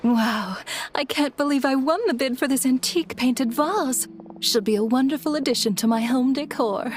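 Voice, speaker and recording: A woman's voice: high-pitched, moderate pace, a little hiss or hum, lightly acted. A woman playing a confident woman in a game cutscene. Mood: delighted.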